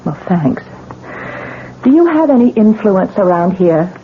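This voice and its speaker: A woman's voice: medium pitch, big pitch swings, unhurried. A woman playing a newlywed wife who insists to a doctor and a police lieutenant that she is sane. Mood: weary. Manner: tense, sharp, pushes back. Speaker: Mrs. Renard, patient in hospital. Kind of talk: 1970s radio drama acting. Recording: tinny lo-fi 1970s radio broadcast with hiss.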